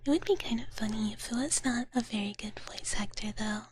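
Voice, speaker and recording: A woman streaming ASMR in a soft, breathy whisper, chatting casually and playfully with viewers up close to the microphone. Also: on the high side, moderate pace, slight background noise. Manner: playful, speaks of self in third person. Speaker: ASMR livestreamer.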